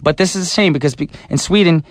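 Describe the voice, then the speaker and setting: Animated male radio DJ, dry studio sound. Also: a little high, fast, dry recording. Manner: chatty, animated storytelling. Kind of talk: casual radio DJ chat. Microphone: studio mic.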